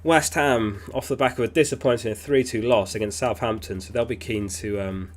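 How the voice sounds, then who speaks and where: A man hosting a casual livestream, reading viewer messages aloud in a relaxed, chatty way. He is sniffly and keeps clearing his throat. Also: medium pitch, moderate pace. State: sniffly, getting over an illness. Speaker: fantasy football livestream host.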